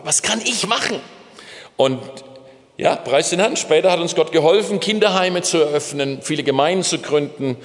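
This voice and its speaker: A middle-aged man gives a sermon-style testimony in a fairly high voice, pushing his pitch up and down to stress his points. His words ring out with the long reverb of a large church hall. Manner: emphatic storytelling with swings in pitch and pace. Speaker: missionary preacher.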